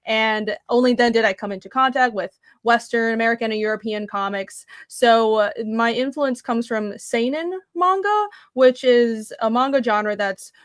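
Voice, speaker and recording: A woman's voice: a little high, moderate pace, hardly any pauses, dry recording, clean studio sound. A young woman from Taiwan talking about her comics in a casual interview, earnest and thoughtful with many fillers. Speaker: comic creator guest.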